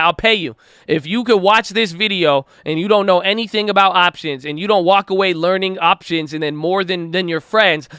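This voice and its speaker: A man hosting a trading channel, talking in an excitable, slangy, casual way. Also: high-pitched, clean tone, no echo, clean studio sound. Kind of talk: casual hyped vlog talk.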